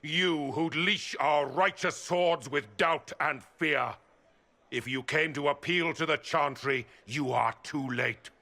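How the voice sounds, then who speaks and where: An actor playing an arrogant, contemptuous templar commander in a fantasy game, speaking with haughty scorn. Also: male voice, on the high side, takes it slowly, sharp tone, exaggerated voice. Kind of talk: fantasy game cutscene acting.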